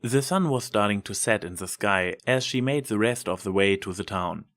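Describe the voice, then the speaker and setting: A man's voice: fairly low pitch, animated, moderate pace, fairly bright tone. A man narrating fiction from a script as a dramatic story reader.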